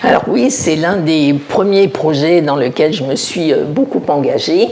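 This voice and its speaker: An older woman from Alsace, a village mayor, answering interview questions in a measured, explanatory way, often pausing to find her words. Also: deep voice, darkish tone, a little hiss or hum. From Alsace, France. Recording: roomy echo.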